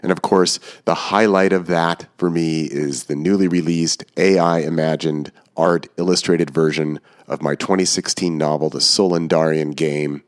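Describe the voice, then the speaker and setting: A man with a very low voice hosts a podcast. He talks informally and chattily. There is a slight stuffed-up sound, as if he has a cold. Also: steady tone, moderate pace, hardly any pauses. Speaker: podcast host. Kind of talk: casual podcast monologue and interview hosting.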